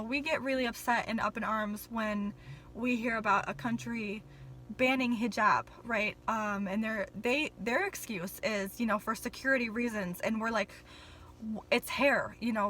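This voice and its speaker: A woman's voice: on the high side, normal speed, bright, forceful tone, rough recording. A young woman vlogging in a casual, rambling way, full of ums and apologies, a little nervous on camera.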